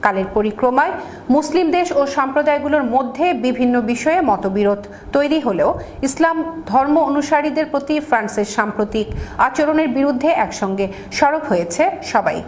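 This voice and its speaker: A woman's voice: sits high, lively intonation, brisk, darkish tone, slight background noise. A woman reading a scripted news introduction as a presenter.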